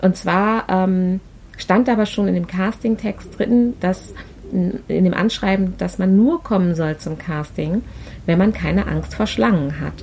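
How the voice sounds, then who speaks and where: A woman's voice: fairly low pitch, unhurried, a little hiss or hum. A woman, a podcast guest chatting casually and telling stories with frequent laughter. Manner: chatty, laughs often, lively storytelling. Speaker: podcast guest, actress and party planner.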